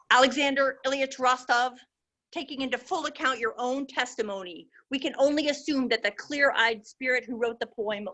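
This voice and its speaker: A woman playing a severe, coolly sarcastic male Soviet committee chairman in an amateur dramatic reading, formal, measured and cutting. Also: fairly high pitch, fairly bright tone.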